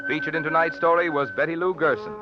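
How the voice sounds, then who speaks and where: A man's voice: a little high, quick pace. A man announcing on 1940s radio, reading sponsor message and credits in a polished, formal way. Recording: muffled old radio broadcast with music.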